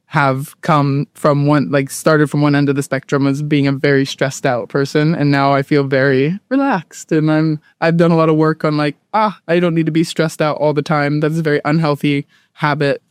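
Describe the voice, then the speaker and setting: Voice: medium pitch, little pitch movement, moderate pace, few pauses, clear, resonant tone, no echo, studio quality. Chatty late-twenties speaker with an American accent. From Southern California, US. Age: late 20s. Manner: chatty, laughs easily, fillers like "like" and "um". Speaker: guest. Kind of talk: casual interview chat.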